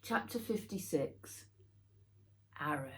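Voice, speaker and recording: A woman reading a children's adventure novel aloud, in measured, dramatic storytelling. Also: low voice, animated, a little hiss or hum. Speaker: author reading own book aloud. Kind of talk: scripted audiobook narration for children.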